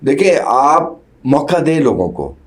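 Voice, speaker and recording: A man's voice: a little high, sing-song, slow. A man with a Pakistani accent answering as a party worker in a political interview, explaining point by point and mixing in English terms.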